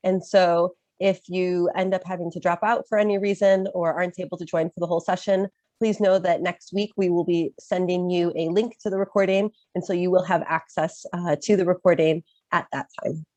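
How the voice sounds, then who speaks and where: A woman's voice: lowish voice, steady tone, a little fast, few pauses, darkish tone, no echo, dull audio. A woman in her thirties from Chicago with an American accent, presenting an informational webinar while recovering from a cold, with frequent um fillers. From Chicago, USA. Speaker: study-abroad advisor, webinar presenter.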